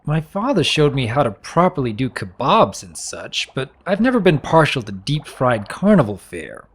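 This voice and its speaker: A man plays an earnest stallion baron from a fantasy pony tale. He is polite and a little prim, with a husky mid-range voice that leaps around dramatically in pitch. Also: sing-song, moderate pace. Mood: earnest, hesitant. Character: earnest, mild-mannered young stallion baron.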